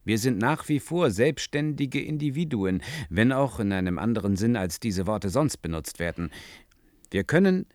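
An actor playing an earnest, analytical scientist in a science fiction radio play, speaking in a deliberate, precise, insistent manner. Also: male voice, on the low side, animated, a little mellow, natural voice. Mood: insistent, reasoning.

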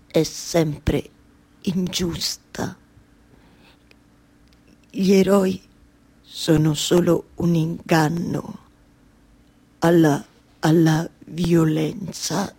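An actress playing a weary, exasperated yet tender waiting wife in a theatrical radio monologue, with a Roman accent and halting, repetitive phrasing. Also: female voice, mid-range voice. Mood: bitter, brooding. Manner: halting, obsessively repeating words and phrases.

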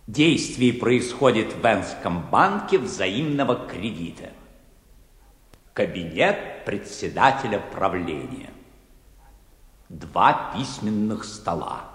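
A man's voice: fairly low pitch, very animated, slow, fairly bright tone. A man narrating a radio play, reading titles and stage directions in a scripted theatrical style. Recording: echoey hall reverb with music behind.

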